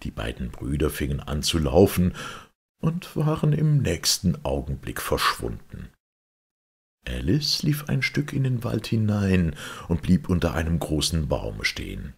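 Deep, very husky male storyteller. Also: very animated, measured pace. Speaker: audiobook reader. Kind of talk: scripted storybook narration.

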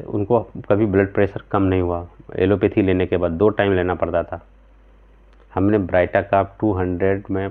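Low, flat-voiced man on a tinny line. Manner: earnest, explanatory, gesturing with both hands, frequent "theek hai" check-ins. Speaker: homeopathic practitioner. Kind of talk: explanatory talk to camera. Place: small room at home, indoors. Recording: tinny, phone-like sound.

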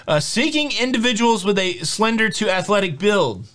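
A man's voice: sits high, lively intonation, normal speed, fairly bright tone. Chatty upbeat man hosting cruise news. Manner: chatty, upbeat, jokey with filler words. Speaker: host. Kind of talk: casual cruise news vlog. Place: home studio with shelves of collectibles.

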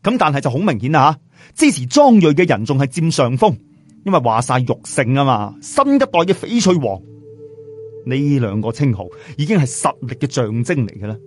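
A man narrating a story in an expressive, colloquial storyteller style, with a southern Chinese Cantonese accent. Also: fairly high pitch. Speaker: audiobook storyteller. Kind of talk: animated scripted storytelling narration. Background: background music.

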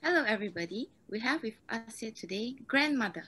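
A woman's voice: a little high, lively intonation, brisk, a bit bright, soft background music. A teenage girl playing a serious, formal TV interviewer in a scripted drama. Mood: earnest. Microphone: video call.

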